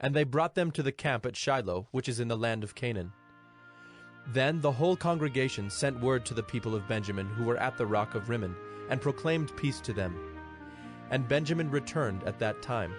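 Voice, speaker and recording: A man's voice: mid-range voice, lively intonation, moderate pace. A man giving a scripted narration of a dramatized scripture audio production. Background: background music.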